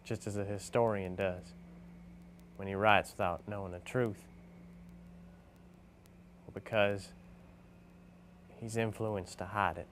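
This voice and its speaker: A man's voice: fairly low pitch, steady tone, takes it slowly, long pauses, fairly bright tone, slight background noise. A man performing a dramatic monologue as a reflective, weary gravestone carver confessing his part in things. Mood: reflective, regretful.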